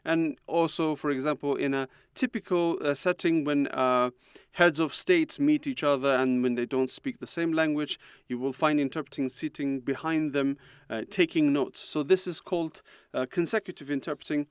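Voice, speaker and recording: A man's voice: fairly high pitch, steady tone, clean tone, no room sound, studio quality. A man whose first language is Uyghur, a university lecturer and translator, giving a conference talk in an explanatory way with many hesitant "uh"s. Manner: explanatory, many filler "uh"s, measured.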